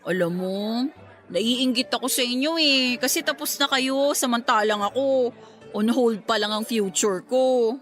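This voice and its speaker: A woman acting a sentimental young working woman who hopes to teach, earnest and expressive, in a radio drama. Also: fairly high pitch, a little slow, soft background music. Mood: wistful. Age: young adult.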